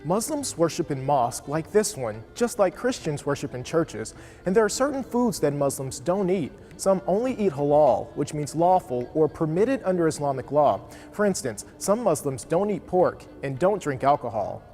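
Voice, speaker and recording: A man narrates a news feature in a measured, informative voice. His pitch sits a little higher than most men's. Soft music plays underneath him. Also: very animated.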